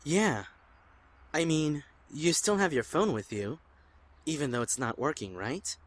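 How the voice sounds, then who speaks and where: A man acting a gentle, supportive young friend in an audio drama, speaking hesitantly. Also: fairly high pitch, lively intonation, a little slow. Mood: gentle, curious. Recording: clear background ambient noise.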